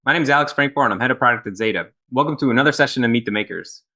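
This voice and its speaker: Guy hosting a team call, talks fast, bright kinda lowish voice. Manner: quick, upbeat, loose restarts. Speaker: host, head of product. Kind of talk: hosting a video call panel. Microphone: video call.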